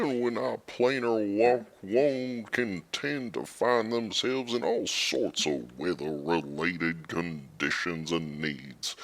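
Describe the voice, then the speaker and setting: A man performs an earnest adventurer-mage interviewed on a parody radio show. He tells tales in a measured, unhurried way, with a deep voice that has some gravel in it. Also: full character voice. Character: male planeswalker, weather-magic expert and adventurer-author.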